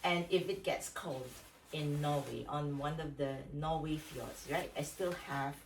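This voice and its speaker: Woman travel vlogger, pretty low voice, explains stuff plainly, bit of noise behind her. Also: measured pace. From Malaysia. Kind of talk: casual packing vlog. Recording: distant mic, slight background noise.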